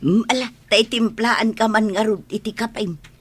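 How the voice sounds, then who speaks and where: A woman acting an ailing, affectionate elderly mother with a husky voice in a radio comedy drama. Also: a little high, moderate pace. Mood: chatty, proud.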